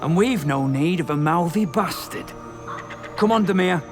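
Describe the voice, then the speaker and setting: A man's voice: a little high, lively intonation, normal speed, soft background music. A man playing a hot-headed, distrustful country brother in a video game, blunt and urgent, with a British accent. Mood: angry, urgent. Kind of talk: video game character acting.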